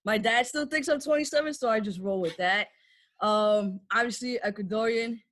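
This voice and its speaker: A woman's voice: a little high, moderate pace, a bit bright. Chatty laughing woman in her thirties. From New York. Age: 30s. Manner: chatty and laughing often, candid storytelling. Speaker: podcast guest. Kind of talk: casual chat.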